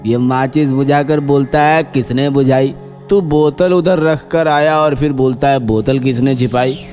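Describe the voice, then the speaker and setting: A man playing a bossy, mocking gang leader in an animated horror story. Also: medium pitch, measured pace, soft background music, lightly acted. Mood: bossy, irritated.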